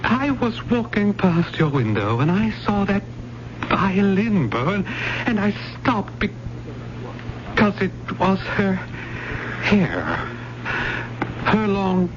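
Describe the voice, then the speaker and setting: An actor playing an obsessed, volatile college professor in old-time radio drama, erudite and courteous but halting when cornered. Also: male voice, high voice, big pitch swings, exaggerated voice. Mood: menacing, agitated. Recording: noisy, dull old radio drama recording.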